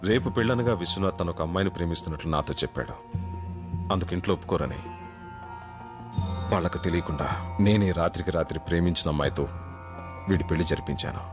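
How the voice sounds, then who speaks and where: Man playing a low-voiced, sober middle-aged storyteller. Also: quick pace, pauses often, over music, natural voice. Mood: grave, reflective. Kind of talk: Indian film drama acting.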